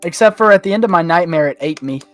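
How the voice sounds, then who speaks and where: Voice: mid-range voice, expressive, fast, faint music behind. A podcast panellist chatting casually, insistent and ready to argue a point. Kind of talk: casual chat.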